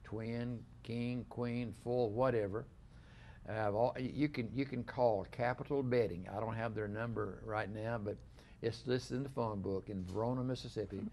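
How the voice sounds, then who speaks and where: Older man, gravelly host voice. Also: mid-range voice, steady tone, a little mellow, slight background noise. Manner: rambling, halting, many restarts. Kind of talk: casual studio interview. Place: small living-room studio set.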